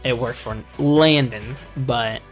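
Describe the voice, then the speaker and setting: A man's voice: mid-range voice, slow pace, faint music behind. A man chatting and joking as a commentator on a live game stream, teasing his co-hosts. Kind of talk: casual sports commentary chat.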